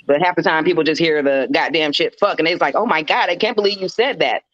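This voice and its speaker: Woman, American accent, deep and bassy, blunt, sweary, casual discussion, slightly lo-fi, very little room sound. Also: quick pace, no echo. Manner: blunt, hard-talking, sweary. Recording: slightly dull, lo-fi, dry sound.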